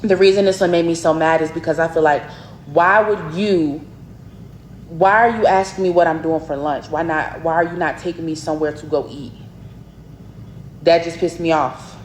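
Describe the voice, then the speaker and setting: An exasperated woman runs through her dating pet peeves with blunt emphasis. Her voice bounces around an echoey room. A faint low rumble sits in the background. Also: fairly even intonation, normal speed. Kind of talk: casual rant to camera.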